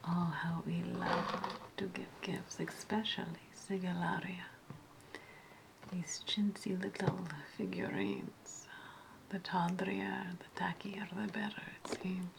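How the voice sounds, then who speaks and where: A woman playing a sly, menacing ancient Roman witch hostess in a whispered ASMR roleplay, speaking deliberately with repeated phrases and lingering hums. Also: lowish voice, little pitch movement, vocal fry, noisy background, exaggerated voice. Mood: disdainful, conspiratorial.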